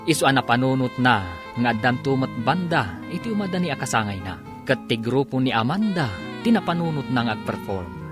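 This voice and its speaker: Animated Ilocano radio-drama announcer over loud music. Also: mid-range voice, fairly quick. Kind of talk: dramatic scripted radio narration.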